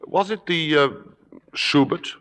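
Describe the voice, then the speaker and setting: An actor playing a suave, worldly theater critic who speaks in urbane, deliberate, formal phrases in an old-time radio drama. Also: male voice, on the high side, a bit bright. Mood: probing, silky. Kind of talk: 1950s radio drama acting and narration. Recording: old radio broadcast, reverberant, music behind.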